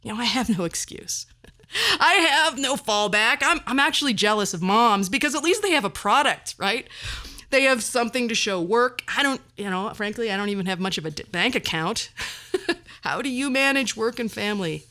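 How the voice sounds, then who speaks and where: A woman's voice: a little high, lively intonation, moderate pace, bright, forceful tone. A middle-aged woman hosting a podcast, delivering a personal monologue in a wry, self-deprecating and theatrically emphatic way. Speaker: podcast host.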